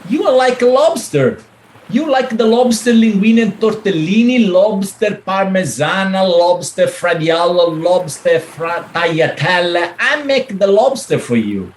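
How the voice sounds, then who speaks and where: A man's voice: high voice, big pitch swings, a little slow. A man playing a theatrical Italian husband and ladies' man in a radio play, effusive, with an Italian accent and broken English. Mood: wistful, eager. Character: melodramatic Italian widower and smooth ladies' man. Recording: faint background noise.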